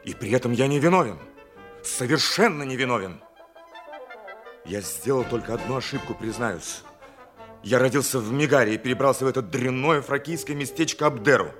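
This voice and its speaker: An actor playing an aggrieved, ruined dentist in an audio drama, fussy, emphatic and self-justifying. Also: male voice, medium pitch, lively intonation, fairly quick, fairly bright tone, soft background music, close to an everyday voice. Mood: bitter, aggrieved. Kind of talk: theatrical audio drama monologue.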